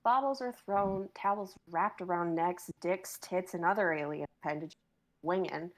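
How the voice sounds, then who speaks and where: A woman's voice: on the low side, normal speed. A woman in her twenties reading and chatting casually on a noisy livestream as a performer. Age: 20s. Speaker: performer on a live reading stream. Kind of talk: script reading and casual chat.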